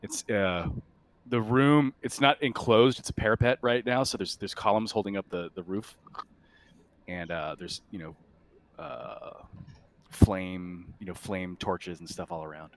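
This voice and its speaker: A man narrating a tabletop roleplaying game as game master in a halting, conversational way. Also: sits low.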